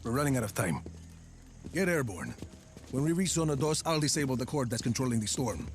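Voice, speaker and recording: An actor playing a serious, brooding action hero in a video game, with a Spanish accent and clipped, terse delivery. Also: male voice, medium pitch, sing-song, brisk, lightly acted. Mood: urgent, commanding. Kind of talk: video game cutscene acting. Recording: music behind the voice.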